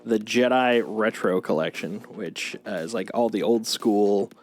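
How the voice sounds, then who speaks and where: A man's voice: fairly low pitch, unhurried. A man chatting casually as a livestream host, showing things off in an enthusiastic, geeky, rambling way. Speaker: streamer, host. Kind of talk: casual livestream chat, showing off collectible toys. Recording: music playing behind the voice. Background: music.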